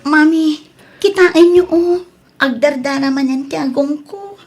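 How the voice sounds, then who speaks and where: A woman voices a small child in an Ilocano radio drama. The voice is high, childlike and plaintive, with an Ilocano accent. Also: unhurried, over music. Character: small child with a nosebleed calling mother.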